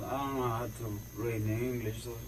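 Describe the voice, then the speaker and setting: A man in his twenties with a Cuban accent, a native Spanish speaker, giving halting, informal short answers in a police interview. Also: mid-range voice, steady tone. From Cuba. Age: 20s. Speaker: suspect giving a statement. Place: indoor police interview room. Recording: noisy distant interrogation room recording.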